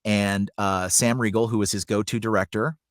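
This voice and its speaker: A man's voice: on the low side, fairly even intonation, normal speed, no echo, studio quality. A middle-aged man speaking earnestly and conversationally as a guest in a podcast interview, a working voice actor and coach.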